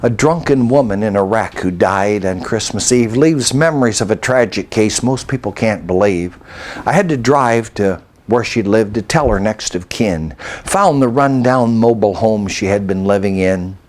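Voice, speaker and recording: An older American man recites a rhyming narrative poem in a measured, reflective storytelling manner. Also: lowish voice. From United States. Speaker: storyteller and poet.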